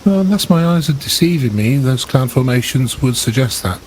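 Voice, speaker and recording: A man playing a dry, formal starship officer with measured speech in a tabletop roleplay. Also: mid-range voice, lively intonation, darkish tone, a little hiss or hum, close to an everyday voice.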